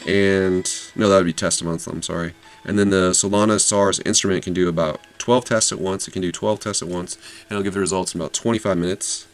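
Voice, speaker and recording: A man's voice: low voice, steady tone, a little fast, hardly any pauses, soft background music. A man hosting an investing channel, casually summarizing an earnings call with lots of fillers and self-corrections.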